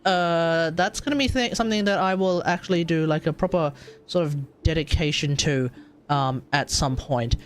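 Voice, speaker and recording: A man's voice: fairly high pitch, moderate pace, slight background noise. A man with an Australian accent chatting casually and ramblingly as a livestream host. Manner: rambling, mixes in Japanese phrases.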